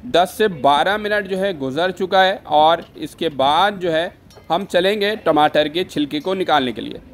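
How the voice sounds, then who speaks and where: Higher-voiced man narrating a recipe. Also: animated, unhurried. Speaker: food vlogger and narrator. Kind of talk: instructional recipe voice-over. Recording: faint background noise.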